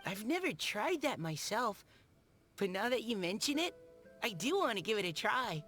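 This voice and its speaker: A woman's voice: on the high side, big pitch swings, moderate pace, fairly bright tone, soft background music. A woman voicing a polite, eager and curious outdoor surveyor in a video game. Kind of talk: video game character acting.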